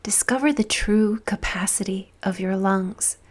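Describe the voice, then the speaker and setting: A woman narrating a guided visualization in a soft, soothing, deliberate voice with breathy phrase endings and long pauses. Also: medium pitch.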